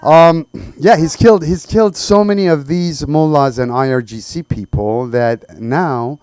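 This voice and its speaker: A man from Iran performing a stand-up set in a halting, conversational way. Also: on the high side, a little mellow, clean tone, no room sound. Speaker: stand-up comedian. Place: small comedy open mic.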